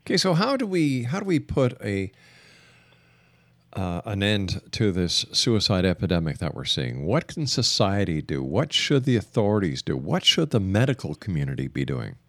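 A man hosting a radio interview, putting probing questions in a deliberate way. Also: sits low, sing-song, a bit bright, no echo.

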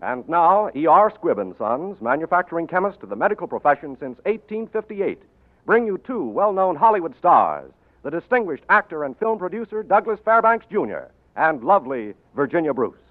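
High-pitched, grand 1940s radio announcer man. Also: very animated, normal speed. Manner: formal, grand delivery. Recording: muffled old 1940s radio broadcast, music behind.

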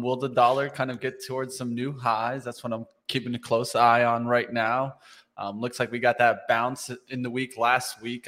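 A man's voice: mid-range voice, fairly bright tone. Male co-host, casual hesitant delivery, long reverb on the voice. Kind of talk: market commentary.

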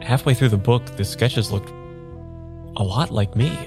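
An actor playing a wistful, apologetic man without his memory in an improvised audio drama, speaking haltingly over music. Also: medium pitch, close to an everyday voice. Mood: quiet wonder. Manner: halting, repeats himself, hesitant. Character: uneasy amnesiac man, apologetic and wistful, narrating his search. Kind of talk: improvised audio drama, first-person narration and dialogue.

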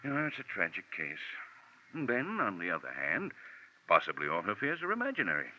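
A man's voice: fairly low pitch, huge pitch swings, a little fast, sharp tone, slight background noise, exaggerated voice. A stuffy, blustering older office boss in vintage radio drama. His intonation swings widely as he fusses and repeats himself. The recording is old and muffled. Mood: earnest. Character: fussy, pompous older insurance general manager. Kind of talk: 1940s radio drama acting.

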